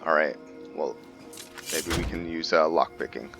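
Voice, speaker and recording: A man giving casual gameplay commentary, thinking aloud with halting, hesitant pauses. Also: very deep voice, steady tone, creaky, over music. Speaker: gamer host of a roleplay series.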